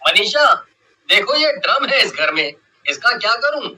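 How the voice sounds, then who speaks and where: A man voicing a worried, grumbling young husband in an animated horror story. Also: high voice, measured pace, exaggerated voice, rough recording. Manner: fretful, complaining.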